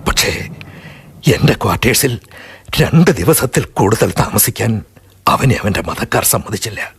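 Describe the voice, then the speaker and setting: An older man narrating and acting a radio drama in a measured storytelling way. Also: fairly low pitch, lively intonation, breathy edge. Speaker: narrator and stationmaster figure.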